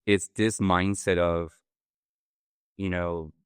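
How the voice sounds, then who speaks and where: A man with a Puerto Rican Spanish accent speaks in a low, smooth, resonant voice. He sounds earnest and reflective, working through his ideas aloud in a therapy-informed chat. The sound is close and dry, with no room behind it. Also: flat delivery, slow pace, no echo. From Puerto Rico. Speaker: podcast co-host.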